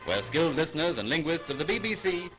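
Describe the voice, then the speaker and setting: A male announcer narrates in formal 1940s newsreel style. His voice is fairly high and dramatically inflected. The old recording is dull, with faint music. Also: very animated, fast talker. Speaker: archive announcer.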